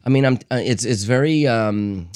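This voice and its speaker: A man co-hosting a casual beer-tasting chat, talking haltingly with many fillers and restarts. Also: medium pitch, darkish tone, no echo, studio quality. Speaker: podcast co-host.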